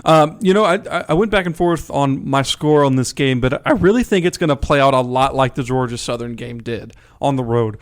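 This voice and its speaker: A man's voice: medium pitch, brisk, hardly any pauses. A man co-hosting a sports radio show, talking casually through game picks.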